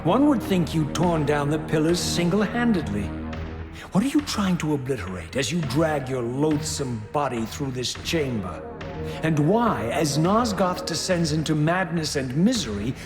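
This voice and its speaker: An actor playing a bitter, defiant undead wraith in a video game, with eloquent, scornful, theatrical delivery. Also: male voice, a little high. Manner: eloquent, sardonic, biting theatrical diction. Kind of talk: dramatic video game voice acting. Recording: echoey hall reverb with music behind.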